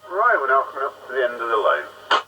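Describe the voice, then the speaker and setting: A man's voice: mid-range voice, wildly swooping pitch, fairly quick, pushed and bright, breathy edge. A man chatting casually over a CB radio as the other station in a test. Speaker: CB radio contact. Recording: distant, muffled, noisy CB radio link.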